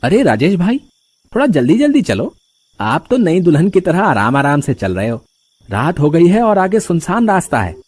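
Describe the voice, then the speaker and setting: A man's voice: on the high side, big pitch swings, normal speed, a little mellow, slight background noise, voice pushed down. A man voicing a young male villager who urges and teases a friend in a cartoon. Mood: nervous. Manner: urging, teasing.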